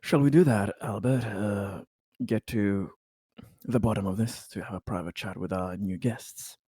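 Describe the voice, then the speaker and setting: Man, tabletop roleplayer, dark relaxed tone, formal deliberate phrasing, dry close sound. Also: on the low side, breathy edge, dry recording. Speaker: player in a tabletop roleplaying game. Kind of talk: in-character tabletop roleplay discussion.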